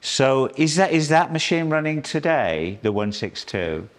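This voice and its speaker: An older man acting as an auditor, questioning staff in brisk, probing turns inside a factory office. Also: a little high, sing-song.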